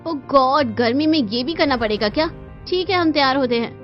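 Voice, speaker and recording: A woman's voice: high voice, expressive, fairly quick. A woman voicing a brash, insolent young modern daughter-in-law in a cartoon, with a snappy, whining manner. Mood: exasperated. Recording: dull lo-fi sound with music behind.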